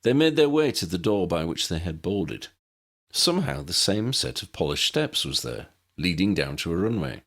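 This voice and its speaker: A man's voice: sits low, big pitch swings, moderate pace, a bit bright. A man narrating a story as an audiobook reader, expressively reading scripted fiction.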